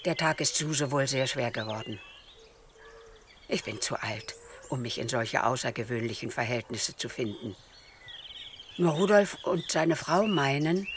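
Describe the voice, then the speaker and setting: A woman reading as an elderly mother keeping a wartime diary, calm and measured, in a documentary. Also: extremely low pitch, slight background noise. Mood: sober.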